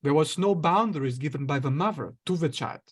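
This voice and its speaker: A man with a somewhat high voice talks through ideas as a guest in conversation. He explains steadily and slips into short acted-out inner thoughts to make his points. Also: brisk, slightly rough. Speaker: astrologer guest.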